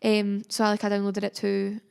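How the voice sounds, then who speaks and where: A woman's voice: mid-range voice, completely flat delivery, a little fast, gravelly, darkish tone, smooth. A teenage Scottish girl chatting casually as a podcast guest, filling her talk with likes and ums. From Scotland. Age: teens. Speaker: guest pupil. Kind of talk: casual chat. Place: school classroom.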